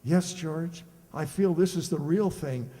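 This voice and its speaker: Guy doing a girl crazy bachelor buddy, old radio comedy, highish mellow voice, teasing and boastful, echoey hall. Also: measured pace, lightly acted. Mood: breezy, smitten. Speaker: amateur radio player. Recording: echoey hall with long reverb.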